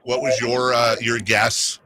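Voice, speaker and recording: A man's voice: medium pitch, level tone, slow pace, a crowd in the background. A man hosting a sports radio show, bantering casually in a jokey, rambling way. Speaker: radio talk show host.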